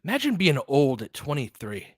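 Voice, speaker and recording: A man's voice: on the high side, normal speed, no room sound, clean studio sound. Chatty man in his forties calling a game. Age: 40s. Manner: chatty, excitable, thinks aloud. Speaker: play-by-play host. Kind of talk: casual fan play-by-play commentary.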